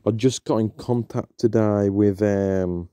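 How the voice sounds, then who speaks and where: A man's voice: fairly low pitch, fairly even intonation, a little slow, mellow, clear, resonant tone, dry recording. A young man hosting a podcast, chatting casually and hesitantly with many ums and restarts. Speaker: podcast host. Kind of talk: casual solo intro chat.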